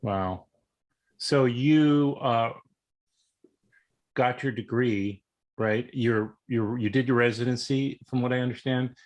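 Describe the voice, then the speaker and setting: A man's voice: mid-range voice, steady tone, takes it slowly, long pauses. A middle-aged man hosting an interview, asking rambling, meandering questions full of restarts. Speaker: interview host. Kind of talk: casual interview.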